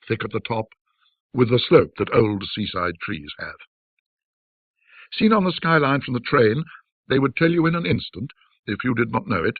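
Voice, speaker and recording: A man with a husky voice narrating a classic ghost story in a measured, theatrical, reflective manner. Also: lowish voice, dry recording, narrow, tinny audio.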